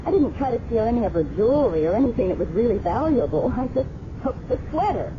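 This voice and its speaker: An actress playing a candid, rueful working woman in a 1970s radio drama, expressive and confessional. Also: on the high side, quick pace. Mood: guilty, rueful. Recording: dull, noisy old radio broadcast.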